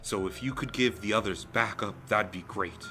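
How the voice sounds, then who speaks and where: An earnest, guarded male hero played by a man speaks directly in a lowish, bright, forceful voice. It is audio drama acting, measured and serious. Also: soft background music. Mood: firm.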